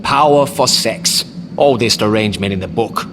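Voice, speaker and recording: An actor playing a cocky, foul-mouthed warrior for hire in a video game, sarcastic and flippant in manner. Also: male voice, lowish voice, moderate pace, background noise, natural voice. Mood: mocking. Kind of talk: video game action-comedy acting.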